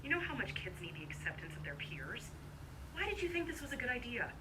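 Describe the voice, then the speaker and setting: A woman's voice: fairly high pitch, very animated, fast, sharp tone. An actress in an audio drama playing a worried, reproachful wife and mother heard over a telephone, scolding and anxious. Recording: tinny phone sound, faint noise.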